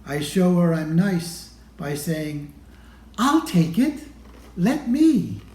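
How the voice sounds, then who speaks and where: A man reads a children's storybook aloud in a mellow, slightly high voice. He takes his time, leaving deliberate pauses between phrases. The sound is roomy and echoey. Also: very animated. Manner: deliberate, pausing between phrases to show pictures. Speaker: storybook reader. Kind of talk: read-aloud of a children's picture book.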